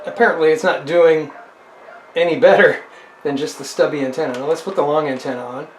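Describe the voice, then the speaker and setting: A man's voice: a little high, steady tone, fairly quick. Male hobbyist host, casual and hands-on, talks through his tinkering, audible background noise, roomy acoustic. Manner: thinks aloud while tinkering. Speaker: host of a radio hobby video. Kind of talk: casual hands-on demonstration, talking to camera.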